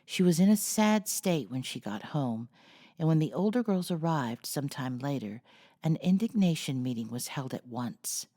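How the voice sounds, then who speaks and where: A woman narrates a novel in a low, mellow voice. Her delivery is expressive and swings widely in pitch, with some creak at the edges. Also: normal speed. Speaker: storyteller reading a novel aloud. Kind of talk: expressive audiobook-style story narration.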